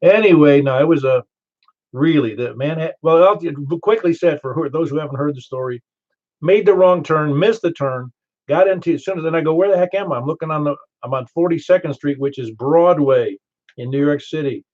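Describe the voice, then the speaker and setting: An older man with a Southern American accent running a ham radio net, chatty and quick to laugh as he tells stories. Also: a little high. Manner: chatty, storytelling, laughs easily. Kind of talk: casual radio chat. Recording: muffled ham radio audio.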